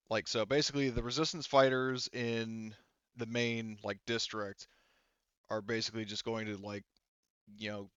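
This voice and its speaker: Bright-voiced, rambling male game master. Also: mid-range voice, smooth, no echo, dull audio. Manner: rambling, halting, self-correcting. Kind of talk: improvised tabletop game narration.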